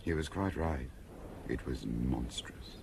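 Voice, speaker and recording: An actor playing a gruff, worldly police inspector with a gravelly voice in a radio drama. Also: male voice, very deep voice, slow pace, heavy background noise, full character voice. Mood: wry.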